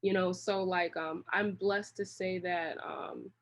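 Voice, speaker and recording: A woman's voice: fairly low pitch, fairly even intonation, takes it slowly. A woman talking casually as an interview guest, in a rambling, slangy, long-winded way. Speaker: interview guest, creative director.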